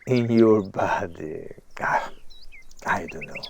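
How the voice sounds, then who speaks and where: A famous writer in an audio drama, with a lowish voice and a slow, halting delivery. He is self-deprecating and agitated, consumed by his work, and circles back over his own words. Faint birds and insects sit behind him. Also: creaky, noisy background, heavily acted. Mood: modest, musing. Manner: hesitant, halting, repeating himself. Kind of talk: naturalistic audio drama acting.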